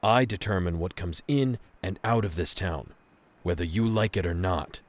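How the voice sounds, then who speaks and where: An actor playing a smug, bossy gang leader in an audio drama, domineering and condescending. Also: male voice, low-pitched, moderate pace, lightly acted. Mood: smug, commanding. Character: gang leader grunt, menacing, smug, bossy adult man.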